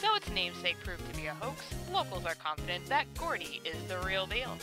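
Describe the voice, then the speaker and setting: A woman's voice: on the low side, big pitch swings, fairly quick, music in the background. A woman playing a television news anchor in an audio drama, reading scripted bulletins. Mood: upbeat.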